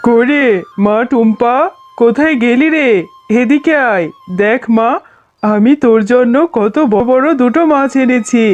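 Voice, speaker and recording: A doting fox father from a children's cartoon, acted by a woman, talks in a high voice. He sounds homely and expressive, a working fisherman talking to his family. Music runs underneath. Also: measured pace, dull audio, close to an everyday voice. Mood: pleased, doting.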